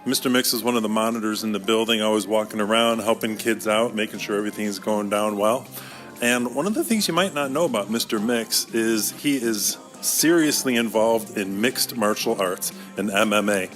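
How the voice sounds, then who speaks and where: A man's voice: on the low side, moderate pace, fairly bright tone, soft background music. A man hosting a casual interview in a conversational style, putting questions to a guest. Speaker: school podcast host and interviewer.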